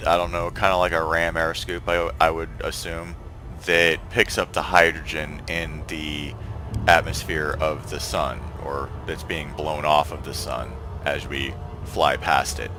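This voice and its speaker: A man's voice: very deep voice, unhurried, over music. A man streaming a space game, chatting casually and thinking aloud as he plays. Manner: relaxed, thinks aloud and trails off. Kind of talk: casual gameplay chat.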